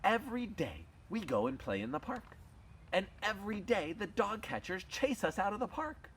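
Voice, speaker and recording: A man puts on playful, earnest talking dogs for a children's tale. The voice sits fairly high and leaps all over in pitch, tumbling through repeated exclamations. There is faint background noise. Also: sing-song, normal speed, fairly bright tone, heavily acted. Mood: worried, pleading. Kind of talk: animated children's oral storytelling.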